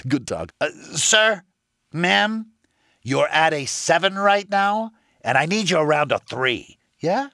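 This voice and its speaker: A man's voice: a little high, a bit bright, dry recording, full character voice. Male game manager, smarmy, self-important, swooping intonation, dry studio sound. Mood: condescending. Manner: smarmy, chummy corporate patter. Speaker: car dealership manager. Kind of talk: scripted comedic video game voice lines.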